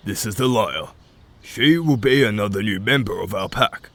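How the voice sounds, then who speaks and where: A fairly low man's voice for a reassuring pack leader. He addresses the group in the manner of scripted audio drama. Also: normal speed, fairly bright tone. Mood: composed, announcing.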